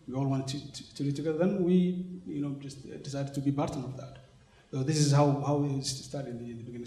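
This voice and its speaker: A man's voice: medium pitch, mellow. A man in his forties from Somalia, speaking English as an in an interview, hesitant with many fillers and restarts. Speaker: interviewee, organization representative. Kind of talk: conversational interview. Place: conference venue, indoors. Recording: echoey room sound.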